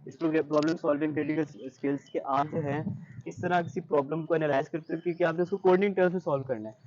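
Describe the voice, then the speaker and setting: A man's voice: on the high side, fairly even intonation, quick pace, a little mellow, highs cut off. A young man with a Pakistani accent answering interview questions conversationally, explaining and giving advice with English words mixed in. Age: young adult. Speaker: interview guest, university student.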